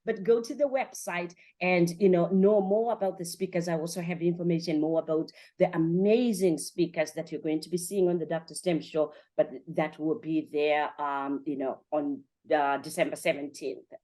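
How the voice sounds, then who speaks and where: A woman's voice: deep voice, fairly even intonation, moderate pace, warm tone. A woman hosting a motivational interview, speaking in an emphatic, encouraging way and piling up repeated phrases. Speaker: podcast host and coach.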